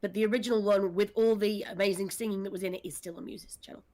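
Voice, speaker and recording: A woman's voice: mid-range voice, fairly even intonation, fast, mellow. A woman in a casual panel chat, talking as a writer and director with dry, wry humor. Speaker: audio drama writer and director.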